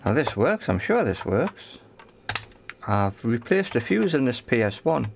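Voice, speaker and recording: A man's voice: mid-range voice, very animated, unhurried, creaky, background noise. A man giving casual, unscripted commentary on a hands-on repair, thinking aloud in short phrases. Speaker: host of an electronics repair video.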